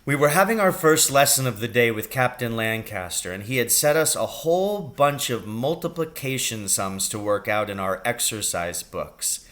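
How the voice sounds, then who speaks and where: A man reading a children's story aloud as a teacher would, with expressive narration. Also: mid-range voice, normal speed, few pauses.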